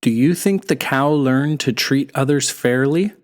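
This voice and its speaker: A storyteller giving an expressive scripted narration of a folktale for children. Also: mid-range voice, measured pace.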